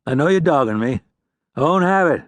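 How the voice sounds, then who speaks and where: A man's voice: a little high, animated, a little fast, dry recording, pitched above the performer's own voice, close to an everyday voice. A man voicing a hard, menacing male gunslinger who speaks with scorn. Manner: threatening, scornful.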